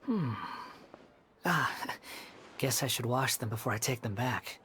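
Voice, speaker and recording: A man voicing a polite, guileless young wanderer, soft-spoken and unassuming, in a video game. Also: mid-range voice, expressive, slow pace, slight background noise. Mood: mild, musing.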